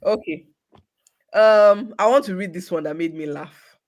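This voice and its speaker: A woman's voice: fairly low pitch, measured pace, darkish tone. A Nigerian woman moderating a casual panel, reading out questions with frequent laughter.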